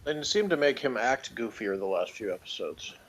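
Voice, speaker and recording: A man's voice: mid-range voice, sing-song, moderate pace, a crowd in the background, rough recording. A man talking informally and hesitantly, meandering through his opinions in an unscripted review chat about television. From outside Britain.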